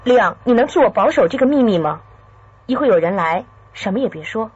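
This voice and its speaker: Very breathy, secretive young woman. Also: on the high side, expressive, fairly quick, darkish tone. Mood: guarded, pleading. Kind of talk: radio drama acting. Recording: dry, slightly dull studio sound.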